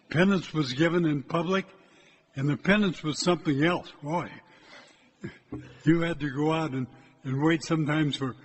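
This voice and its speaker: An older priest preaches to his parish in a loud, direct voice that sits a little high for a man. His words ring out through a church with a long echo, and a faint hiss sits under the recording. He talks plainly and does not keep his voice low. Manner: loud, hard time keeping his voice low. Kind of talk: church exhortation. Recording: echoey church from a distance.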